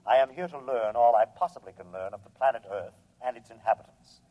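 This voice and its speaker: An actor playing an arrogant humanoid robot from another planet in a 1950s radio drama, speaking formally and precisely with condescension. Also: male voice, medium pitch, fairly quick, natural voice. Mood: matter-of-fact. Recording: dull vintage radio recording.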